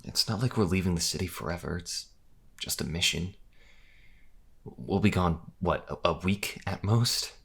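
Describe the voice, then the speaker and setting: Man playing a low, gravelly, hesitant young priest. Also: heavily acted. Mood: dryly teasing. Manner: halting, stammering, soft-spoken. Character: young male priest, hesitant, mild and affectionate. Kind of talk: fantasy audio drama acting.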